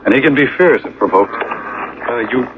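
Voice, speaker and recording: A man's voice: medium pitch, moderate pace, lightly acted. An actor playing a laconic, slyly ominous man who entertains at a resort, terse and knowing, in a radio drama. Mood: firm warning. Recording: muffled noisy old radio recording.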